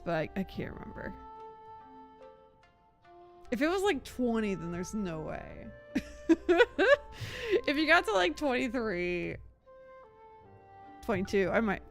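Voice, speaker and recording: A woman's voice: medium pitch, creaky. A woman live streamer chatting casually over a game, giggly and rambling. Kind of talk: casual gaming stream chat. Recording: background game music.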